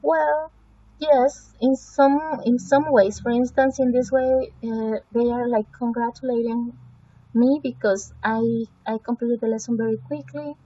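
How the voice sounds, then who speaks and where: A woman's voice: fairly high pitch, steady tone, measured pace, mellow, dull audio. A woman whose first language is Spanish presents a website evaluation, talking through it hesitantly with many fillers and self-corrections. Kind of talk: semi-scripted spoken evaluation.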